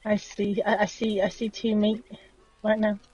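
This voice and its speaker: A woman in her forties, hoarse and losing her voice, chatting playfully and teasing while gaming on a stream. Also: mid-range voice, little pitch movement, normal speed, a little mellow, dull audio, slight background noise. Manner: playful, teasing, coos at animals. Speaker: streaming co-player. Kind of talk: casual chat while gaming.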